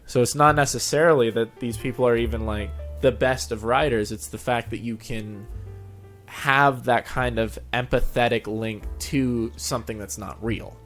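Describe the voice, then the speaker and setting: A man hosts a casual interview in a conversational way. His voice sits at a middle pitch, and music plays faintly behind him. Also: steady tone, normal speed, a bit bright. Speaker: show host, fan fiction reader.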